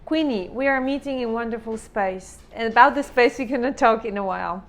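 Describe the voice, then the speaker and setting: A woman's voice: fairly high pitch, brisk. A woman hosting an interview, asking long, searching questions and giving frequent small acknowledgments. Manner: rambling questions, many backchannels. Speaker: interviewer host.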